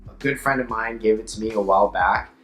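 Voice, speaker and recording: A young man with a hoarse, slightly raspy voice, an upbeat yoga teacher chatting playfully in a casual vlog. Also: fairly low pitch, fast talker, fairly bright tone, echoey room, soft background music. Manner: upbeat, playful, self-mocking.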